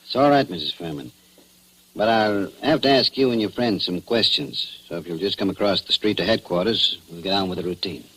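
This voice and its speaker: An actor playing a shrewd, dry, folksy small-town police chief in a 1940s radio crime drama. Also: male voice, low voice, brisk, lightly acted. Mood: dry, probing. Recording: muffled vintage 1940s radio recording.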